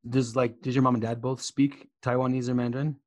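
Soft-spoken curious interviewer. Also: medium pitch, level tone, brisk. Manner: soft, curious, short acknowledgments. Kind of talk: casual interview.

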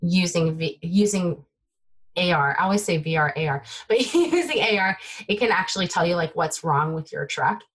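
A young adult woman from the Bay Area, whose first language is Taiwanese, chatting excitedly as a guest developer in an interview. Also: fairly low pitch, normal speed, husky. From United States (Bay Area). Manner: excitable, filler-heavy, trailing off. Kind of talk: casual tech interview chat.

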